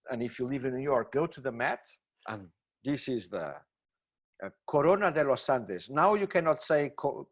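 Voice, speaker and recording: A man with a Portuguese accent giving a webinar lecture, talking with enthusiasm and frequent exclamations. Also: mid-range voice. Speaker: gemmology lecturer. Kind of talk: webinar presentation. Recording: dull lo-fi webinar audio.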